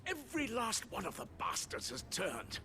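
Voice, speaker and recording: Male game role: alarmed loyal second-in-command, excitable, sharp tone, very animated, light music behind. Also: extremely high pitch, moderate pace, exaggerated voice. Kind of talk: dramatic video game acting.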